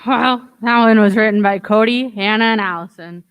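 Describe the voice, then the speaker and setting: Female announcer for a radio play, crisp mid-range voice, scripted, with playful pirate lingo. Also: medium pitch, normal speed, lo-fi sound. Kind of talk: scripted show introduction in playful pirate talk.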